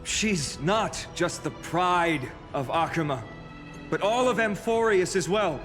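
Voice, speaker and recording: A young warrior leader from a fantasy game. He sounds composed and gracious, with a teasing, heartfelt streak. Music plays softly behind him. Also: sits high, lively intonation, slow, long reverb tail, heavily acted. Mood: formal, gracious. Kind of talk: video game voice acting.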